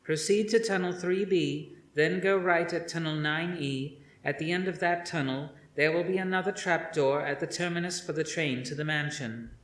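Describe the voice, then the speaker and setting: Man putting on a high, echoing, haughty computer intelligence. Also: normal speed, few pauses, fairly bright tone, slight background noise, lightly acted. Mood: haughty, resigned. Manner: formal, precise, condescending.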